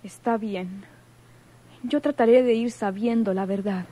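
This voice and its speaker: A woman's voice: medium pitch, unhurried, warm tone, natural voice. A woman playing a grieving, suspicious sister in a melodramatic old radionovela. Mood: guarded. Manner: melodramatic, challenging.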